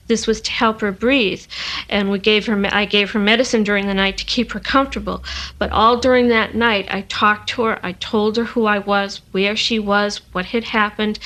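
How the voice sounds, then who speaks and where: A woman's voice: mid-range voice, a bit bright, rough recording. A woman, a nurse, recounting a personal experience in an interview.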